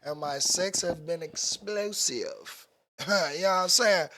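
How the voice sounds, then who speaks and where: A man's voice: high voice, slow, pushed and bright. A man co-hosting a podcast, bantering playfully in slang. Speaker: podcast co-host. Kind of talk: casual chat.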